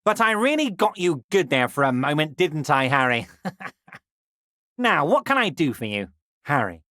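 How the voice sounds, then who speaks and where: An actor playing a smarmy, scheming fat union boss in a video game, with a high-pitched, almost feminine voice and an unctuous, condescending, falsely reassuring manner. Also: male voice, sing-song, bright, forceful tone, no echo, studio quality, exaggerated voice. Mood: smug, chummy. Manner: unctuous, condescending, theatrically reassuring, drawn-out emphasis. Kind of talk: video game character dialogue.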